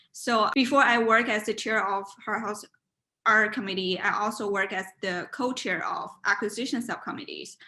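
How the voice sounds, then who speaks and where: A woman's voice: medium pitch, pushed and bright. A woman in her twenties from China, Mandarin native, speaking English with an accent, answering interview questions over a video call with frequent fillers and restarts. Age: 20s. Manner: hesitant, many fillers and restarts. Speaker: student interviewee. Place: home room, indoors.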